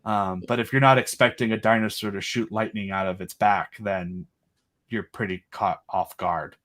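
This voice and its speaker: A man's voice: on the low side, fairly even intonation, moderate pace, a bit bright. A man as an interview guest, eagerly explaining his own creative project in casual, rambling chat. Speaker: guest, creator of an audio drama.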